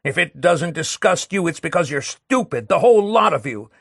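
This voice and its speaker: A man voicing a bitter, disgusted man who rants against love, shouting and scornful. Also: a little high, animated, moderate pace, vocal fry, breathy edge, no echo, clean studio sound, exaggerated voice. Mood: ranting.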